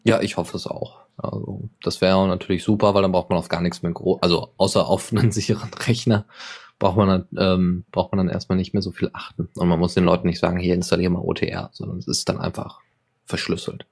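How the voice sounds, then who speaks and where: A man's voice: low-pitched, level tone, fairly quick, vocal fry, darkish tone, breathy edge. A man hosting a podcast, chatting casually through tech news, rambling with many fillers and self-corrections. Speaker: podcast host.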